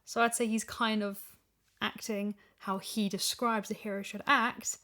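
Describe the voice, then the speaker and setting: A woman's voice: medium pitch, flat delivery, unhurried, bright, forceful tone. A woman in a casual podcast discussion, reasoning through her points conversationally. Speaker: podcast guest, audio drama voice actor.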